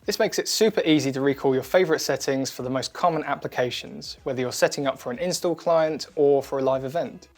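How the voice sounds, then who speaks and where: A man presenting a scripted product demo in a clear, methodical, instructional manner. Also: medium pitch, quick pace, few pauses, darkish tone. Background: background music.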